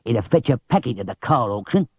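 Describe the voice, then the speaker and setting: A man voicing a gruff, unfriendly, thieving lorry driver with growling, slangy working-class speech in a storybook reading. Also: medium pitch, lively intonation, brisk. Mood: greedy delight. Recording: dull, roomy old cassette tape.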